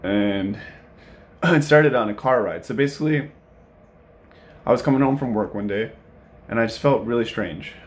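A man's voice: mid-range voice, normal speed, pauses often, a little hiss or hum. A man in his late twenties talking casually and earnestly to camera, telling a personal story in informal speech. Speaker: vlogger. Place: indoor room at home.

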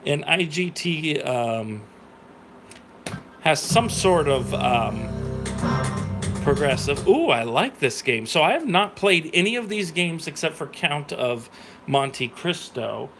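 American man, high-pitched voice, excitable livestream host, casual running commentary, loud music behind him. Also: slow pace. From grew up in Wisconsin, United States. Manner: excitable, exclaiming, sweary. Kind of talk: casual live slot-play commentary with chat. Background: loud music, slot machine game audio.

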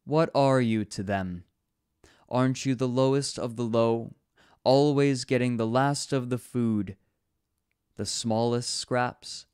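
A dignified, hypnotic female alpha wolf in a storybook, as a male narrator puts her on. Her voice sits clearly higher than the reader's own, slow and coaxing, with a close, dry sound. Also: a little mellow, no room sound, natural voice. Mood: tempting.

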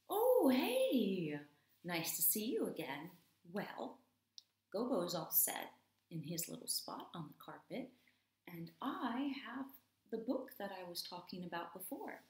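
Woman, 30s, children's teacher and read-aloud storyteller, animated and questioning, with room echo. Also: lowish voice. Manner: deliberate, questioning, explaining simply to children. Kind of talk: expressive picture-book read-aloud for young learners. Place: small home room, indoors. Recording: roomy home video sound.

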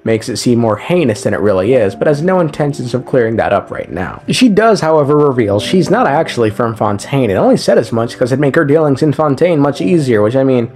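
A man's voice: medium pitch, very animated, fairly quick, hardly any pauses, a little mellow. A young man narrating a casual, scripted game story recap with wry, irreverent, slangy asides. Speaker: game lore video narrator. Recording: music under the voice.

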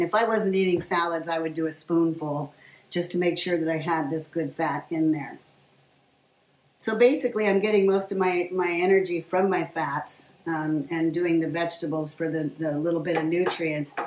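A middle-aged woman chatting casually to the camera while she cooks, rambling with plenty of fillers. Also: low voice. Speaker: vlogger sharing her diet. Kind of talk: casual chat while cooking. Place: home kitchen, indoors. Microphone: camera on the counter at a short distance. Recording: echoey kitchen with faint hiss. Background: food sizzling in a pan.

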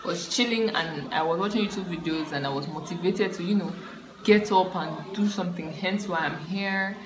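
A young woman, a student vlogger giving a relaxed, rambling life update to her laptop camera in a small room. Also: lowish voice, moderate pace, background noise. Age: young adult. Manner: relaxed, rambling, repeats herself with fillers. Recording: echoey room with music behind.

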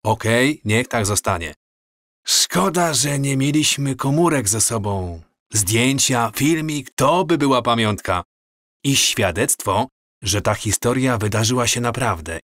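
Szymon, a wistful young husband, close-miked. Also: male voice, medium pitch, animated, unhurried, fairly bright tone, dry recording. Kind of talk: audio drama dialogue.

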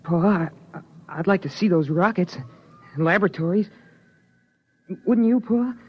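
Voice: mid-range voice, measured pace, husky. An actor playing an earnest boy who grew up in a sealed shelter, in 1950s radio drama style. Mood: wistful. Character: earnest boy raised in a sealed survival nest, narrating. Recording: muffled old radio recording.

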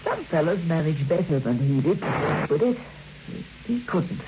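A woman's voice: sits low, sing-song, measured pace. An actress playing a gossipy, plain-spoken, working-class woman in an old radio drama, hesitant and matter-of-fact. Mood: pensive. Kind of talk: old-time radio acting. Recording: dull, noisy old radio recording.

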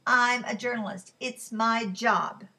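A woman's voice: fairly high pitch, fairly even intonation, slow pace, sharp tone, exaggerated voice. A woman voicing a stubborn young woman journalist, firm and defiant, in an audiobook.

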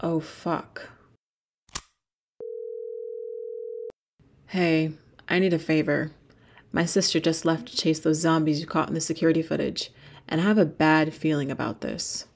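A woman plays a cautious, worried sister in an ASMR-style drama. Her voice is slightly low. She pleads urgently, like someone trying to hold another person back. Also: level tone, normal speed, creaky, a little hiss or hum. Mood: uneasy. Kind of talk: ASMR audio drama acting.

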